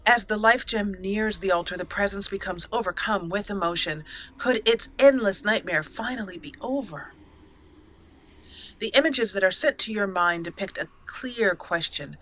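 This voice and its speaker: Bright-voiced woman narrating a game roleplay. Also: medium pitch, low-quality recording. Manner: measured storytelling, with an occasional chuckle. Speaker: let's play host.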